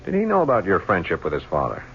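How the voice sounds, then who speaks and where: An actor playing a patient police lieutenant who questions a suspect calmly and pointedly. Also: male voice, low-pitched, fast talker, heavily acted. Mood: probing. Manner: calm, probing. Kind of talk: 1970s radio drama acting. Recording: dull old radio recording with faint hiss.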